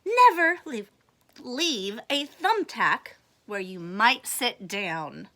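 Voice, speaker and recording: A woman voicing an earnest male police officer from a picture book, reciting safety tips in a deliberate, instructive way. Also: on the high side, big pitch swings, sharp tone, voice pushed down, heavily acted. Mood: cautioning.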